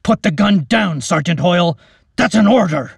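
A man narrator voicing a principled army captain who speaks loudly and gives firm orders. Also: a little high, animated, a little slow, vocal fry, dry recording, studio quality, pitched above the performer's own voice, full character voice.

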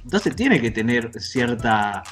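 A man's voice: medium pitch, takes it slowly, faint music behind. Joking Rioplatense man hosting a podcast. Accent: Rioplatense Spanish. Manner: rambling, joking, lively with frequent laughs. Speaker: podcast host. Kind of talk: casual comedic podcast chat.

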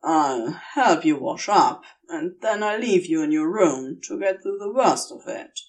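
Woman doing a low, brusque, protective male demon. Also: animated, a little slow, darkish tone, distant mic, rough recording. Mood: gruffly caring. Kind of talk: acted audiobook dialogue.

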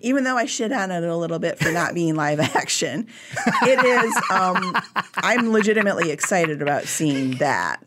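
Female podcast co-host, low voice for a woman, candid and chatty. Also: animated, moderate pace, a bit bright, a little hiss or hum. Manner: candid, chatty, laughs often. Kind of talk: casual movie chat.

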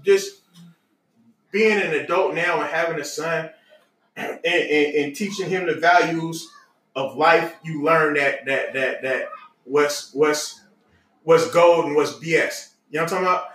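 A man's voice: a little high, sharp tone. An adult man casually telling family stories, candid and repeating himself as he goes. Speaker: podcast host. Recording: roomy echo, slightly distant mic.

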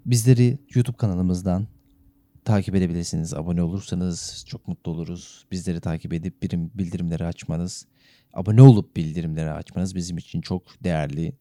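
A man's voice: low-pitched, fairly even intonation, brisk, warm tone, dry recording. A man co-hosting a casual radio-style talk podcast, speaking with courteous, playfully formal phrasing. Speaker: podcast co-host.

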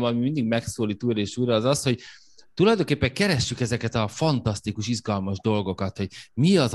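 Low-voiced man hosting a parenting podcast. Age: young adult. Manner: reflective, thinks aloud with restarts. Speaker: podcast host. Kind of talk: conversational interview.

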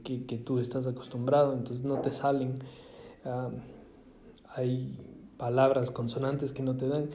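A man from Ecuador musing aloud in a casual monologue, halting often with fillers and restarts. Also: mid-range voice, dark tone, a little hiss or hum. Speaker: vlogger. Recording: echoey room.

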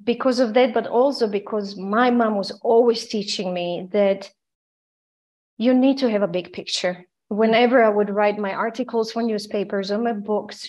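A woman's voice: medium pitch, a little mellow. A woman from Croatia, a journalist and author, speaking earnestly and reflectively as a guest on an interview podcast, often restarting her sentences. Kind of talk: conversational interview storytelling. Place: home in Vienna, remote call.

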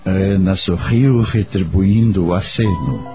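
Casting: male Brazilian narrator, solemn storytelling style, unhurried, with music under the voice. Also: sits low. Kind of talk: solemn scripted radio drama narration.

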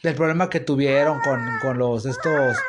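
A man's voice: mid-range voice, fairly even intonation, moderate pace. A man in his thirties with a Mexican accent, hosting a casual podcast chat and rambling in slang with lots of restarts. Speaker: podcast host. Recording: noisy home podcast recording.

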